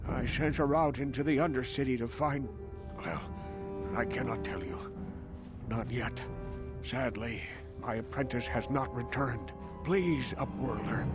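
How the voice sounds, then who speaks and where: A man voicing a hundred-year-old village outcast in a video game, a mystical, wary elder who speaks in formal, portentous questions. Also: medium pitch. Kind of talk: theatrical video game voice acting.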